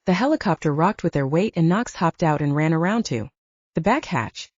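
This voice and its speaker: A woman's voice: deep voice, animated, normal speed, darkish tone, no room sound, dull audio. A woman reading fiction aloud as a scripted audiobook narration.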